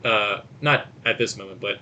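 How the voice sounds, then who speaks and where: A man co-hosting a podcast, giving a casual movie review in a halting, rambling storytelling way with acted-out quotes. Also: on the low side, pushed and bright. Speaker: podcast co-host.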